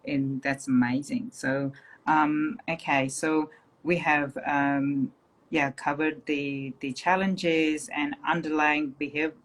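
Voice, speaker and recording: A woman's voice: sits low, level tone, slow. A woman with a Nepali accent, hosting a casual interview with many ums and affirmations. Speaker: host. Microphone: video call.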